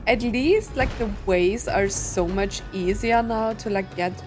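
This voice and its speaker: Slow-paced woman doing casual game commentary. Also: mid-range voice, a little mellow. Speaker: game streamer playing through a story quest. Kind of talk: casual let's-play commentary. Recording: faint game music behind.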